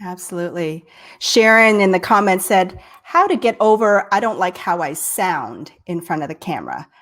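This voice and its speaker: A woman's voice: on the low side, expressive, normal speed, a bit bright. A woman from Texas hosting a live-streamed interview, a bit nasal, enthusiastic and full of "right?". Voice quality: slightly nasal. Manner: enthusiastic, many "right?" and "I love it". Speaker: host.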